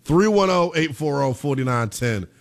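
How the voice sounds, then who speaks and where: A man in his twenties hosting a call-in sports talk show, animated and quick to laugh. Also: a little high, vocal fry. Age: 20s. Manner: animated, laughs easily, talks back to callers. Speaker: sports talk radio host.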